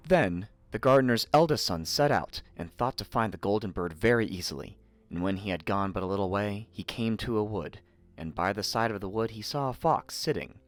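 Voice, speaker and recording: Expressive low-voiced male storyteller. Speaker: narrator. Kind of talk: scripted fairy-tale narration. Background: faint music.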